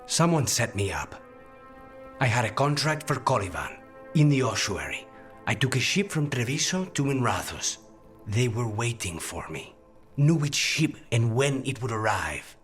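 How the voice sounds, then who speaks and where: An actor playing a weary, dry, demon-possessed assassin in a video game, low and breathy, speaking in a measured, understated way. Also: male voice, very animated, fairly bright tone, soft background music. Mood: grim, vengeful. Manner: measured, understated, dryly menacing. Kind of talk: video game dialogue acting.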